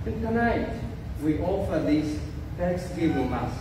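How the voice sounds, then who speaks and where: Priest, male, soft voice, slow and halting delivery, distant in a very echoey church with crowd noise. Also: on the high side, animated. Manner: slow, halting, repeats phrases. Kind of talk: homily at Mass. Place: large packed church, indoors. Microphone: church sound system, recorded from far in the crowd.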